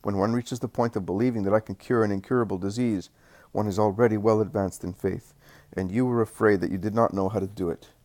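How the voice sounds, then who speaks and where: A man voicing a calm, authoritative religious teacher who speaks in a measured way. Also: on the low side, no room sound, lightly acted.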